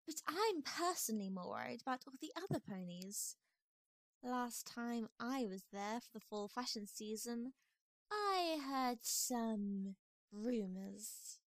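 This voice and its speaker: A woman's voice: a little high, expressive, takes it slowly, no room sound. A woman playing a refined, gossipy female pony who frets over her friend in an amateur audio drama. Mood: gossipy, concerned.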